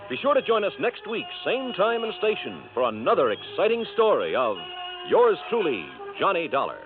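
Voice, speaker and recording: A male radio announcer reading credits and sign-offs in a formal, polished way. His voice sits a little high and moves lively. The sound is a tinny old broadcast with music behind it. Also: normal speed. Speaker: program announcer.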